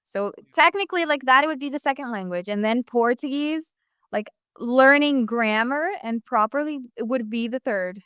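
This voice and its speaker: Hesitant young woman, crisp voice. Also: high voice, normal speed, a bit bright, dry recording, clean studio sound. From United States. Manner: hesitant, rambling, many fillers and restarts. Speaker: guest. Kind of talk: casual interview chat.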